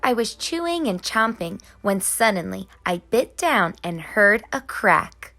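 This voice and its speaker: A woman's voice: mid-range voice, big pitch swings, unhurried, pushed and bright, heavily acted. A woman reading as a mischievous, anxious young girl in a children's storybook, in sing-song rhyme. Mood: excited, worried. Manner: sing-song rhyming delivery. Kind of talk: children's storybook narration in character.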